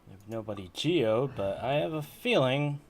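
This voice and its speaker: A man's voice: medium pitch, animated, normal speed, slight background noise. A man giving casual commentary on a game playthrough, muttering as he thinks aloud. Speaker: game streamer.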